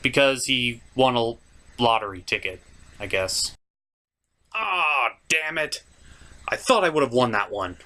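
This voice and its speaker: A man's voice: medium pitch, lively intonation, unhurried, long pauses, sharp tone. A man hosting a cartoon review, delivering scripted comedic narration with theatrical, sarcastic asides. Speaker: cartoon reviewer, show host.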